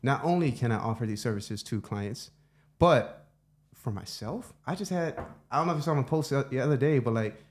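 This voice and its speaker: A middle-aged man hosting a podcast in his home studio, talking in a relaxed, reflective way and thinking aloud with restarts. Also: medium pitch, fairly quick. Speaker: podcast host. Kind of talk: casual conversation.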